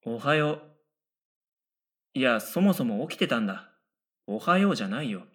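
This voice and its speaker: A man playing an earnest, deadpan middle school boy in a radio drama, matter-of-fact and given to dry retorts. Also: mid-range voice, normal speed. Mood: dry retorting. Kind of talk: radio drama first-person narration and dialogue.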